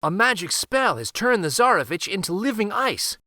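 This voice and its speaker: A man's voice: high voice, sing-song, normal speed, pushed and bright, no echo, clean studio sound, voice pushed up, exaggerated voice. A man voicing a polite, brave young peasant woman in a fairytale read aloud. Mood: earnest. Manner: polite, pleading.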